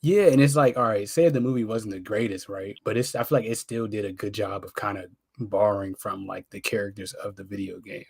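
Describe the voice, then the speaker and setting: Give the voice of an American man with a fairly low pitch, chatting as a podcast co-host. His delivery is informal, slangy and opinionated, and the sound is dry and close. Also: fairly quick, vocal fry, few pauses, a little mellow, husky, dry recording. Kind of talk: casual pop culture podcast chat.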